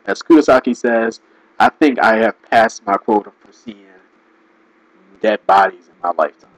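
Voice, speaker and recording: A man giving casual, rambling commentary, restarting sentences and laughing often, his voice going hoarse. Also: on the low side, normal speed, no room sound, poor audio. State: losing his voice. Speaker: video commentator.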